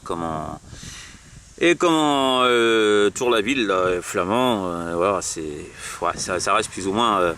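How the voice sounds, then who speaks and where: A man in a casual amateur radio chat, speaking haltingly and repeating himself with many verbal tags. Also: lowish voice, pushed and bright. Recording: noisy radio transmission, vehicle-like hum.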